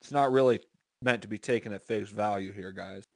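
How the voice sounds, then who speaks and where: A man's voice: lowish voice, brisk, creaky, no room sound. A man reading aloud as a podcast co-host, informal and profane, breaking into casual banter.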